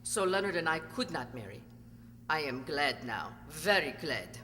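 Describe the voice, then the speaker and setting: A woman's voice: low-pitched, sing-song, a little slow, sharp tone, heavily acted. A woman in a radio play acting a cold, bitter, secretive former actress with a theatrical, haughty delivery. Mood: bitter, venomous. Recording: echoey hall, noisy hum.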